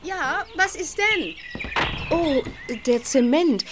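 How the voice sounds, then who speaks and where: A woman's voice: sits high, lively intonation, slow, noisy background. A woman playing a flustered, apologetic woman of the house in a German audio drama, eager to please.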